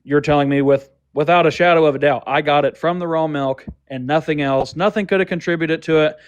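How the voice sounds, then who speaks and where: A man's voice: a little high, steady tone, fairly quick, clean tone, no room sound, dull audio. A man debating on a live stream, defensive and argumentative, dismissing questions he finds belittling. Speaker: guest debater on a livestream.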